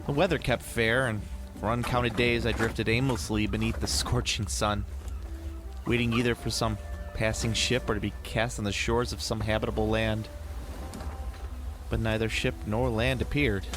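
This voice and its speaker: A man reading gothic horror as a despairing, doomed sailor, with a grave, measured, anguished delivery. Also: on the low side, level tone, music in the background, natural voice. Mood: grim recollection. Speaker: story narrator.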